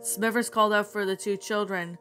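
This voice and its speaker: A woman with a bad cold reads a creepy tale aloud and then talks it over with viewers. Her voice is bright and forceful. She rambles, restarting sentences often, with soft music faintly underneath. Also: medium pitch, steady tone, fairly quick. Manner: rambling, many fillers and restarts. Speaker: creepypasta narrator. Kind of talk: storytelling narration then casual review.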